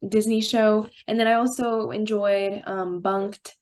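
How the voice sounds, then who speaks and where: A woman's voice: medium pitch, steady tone, a little slow, a little mellow. A teenage girl from Brazil, a young actress answering interview questions, bubbly and hesitant with fillers. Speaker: guest actress.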